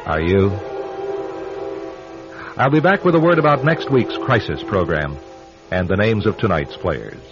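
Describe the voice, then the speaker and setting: A man hosting a radio drama with solemn, rhetorical scripted narration, measured and dramatic. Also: fairly low pitch, animated. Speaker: radio drama host and announcer. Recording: dull lo-fi radio recording with music.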